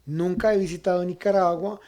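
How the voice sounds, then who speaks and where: A man's voice: sits high, steady tone, brisk, a little mellow. A man from Costa Rica with a Costa Rican accent, hosting a conversational political interview and often checking in with short question tags. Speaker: talk show host.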